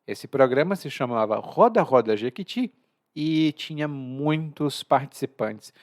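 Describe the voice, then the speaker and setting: A man with a Brazilian Portuguese accent hosts a podcast lesson. He is chatty and expressive and slows down to stress key words. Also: medium pitch. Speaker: language teacher and podcast host.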